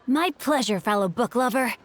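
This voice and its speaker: Game role: refined, well-mannered literary youth, male, played by a woman, formal phrasing, slightly high voice, faint background ambience. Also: expressive, normal speed, slight background noise, natural voice. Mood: gracious. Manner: polite, eloquent, formal. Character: courteous, refined, bookish teenage boy. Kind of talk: video game voice acting.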